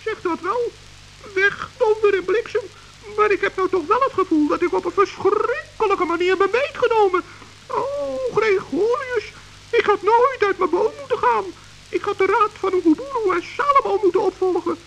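A small, timid forest gnome frets and stammers, voiced by a woman. His voice is very high and light. He mutters worried pep talks to himself. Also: moderate pace, vocal fry, dull audio, a little hiss or hum. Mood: anxious. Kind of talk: 1960s children's radio play acting.

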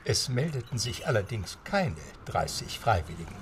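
A man giving steady, scripted storytelling narration for a radio drama. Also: lowish voice, moderate pace, very noisy.

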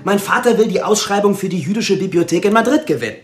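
A stubborn young heir in a radio crime play. His voice is fairly high and determined, with faint music behind it. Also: animated, fast talker. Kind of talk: radio drama acting. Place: studio.

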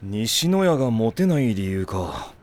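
A man playing a dependable, kindly but strict high-school team captain in an anime audio drama, frank with his juniors. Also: sing-song, unhurried, darkish tone. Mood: thoughtful. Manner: frank, senior talking to juniors.

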